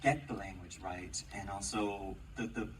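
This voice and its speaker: A man's voice: sits low, fairly even intonation, a little slow. A man, an actor on a stage panel, answers questions in a casual, slightly awkward and fidgety way and laughs often. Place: large theater, Q&A on stage. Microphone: audience member's camera from the seats. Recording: distant, noisy audience camera recording.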